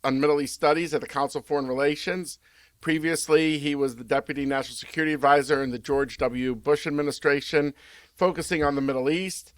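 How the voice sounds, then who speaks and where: A man moderating a panel, introducing the speakers in a halting way with many fillers. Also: medium pitch, a bit bright, no echo.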